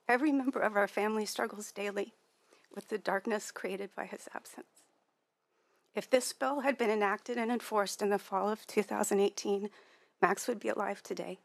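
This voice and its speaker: A woman from California with a soft, quiet voice giving prepared testimony at a hearing. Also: mid-range voice, no room sound. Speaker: witness at a hearing.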